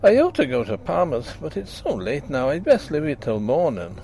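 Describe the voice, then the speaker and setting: A man's voice: fairly high pitch, wildly swooping pitch, moderate pace, creaky, dark tone, slight background noise, pitched above the performer's own voice, exaggerated voice. A man voicing a blunt, hearty young country doctor with an Irish accent, brusque and teasing. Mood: brisk.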